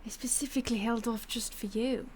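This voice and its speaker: A woman voicing a possessive female vampire stalker in an audio roleplay, whispering breathily with a slow, taunting, condescending manner. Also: fairly high pitch, fairly even intonation, a little mellow, a little hiss or hum, lightly acted. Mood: hungry.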